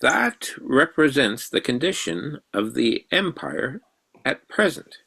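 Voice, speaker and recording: A man playing a grave, self-assured old mathematician in a radio drama, measured and probing. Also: medium pitch, very animated, vocal fry, bright, forceful tone, breathy edge, exaggerated voice, low-quality recording. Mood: instructive. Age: older.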